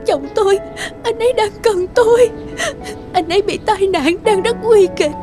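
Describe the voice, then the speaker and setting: A woman's voice: extremely high pitch, moderate pace. A woman playing a distressed, grateful young wife and mother in a dubbed cartoon, with music behind her. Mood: desperate pleading.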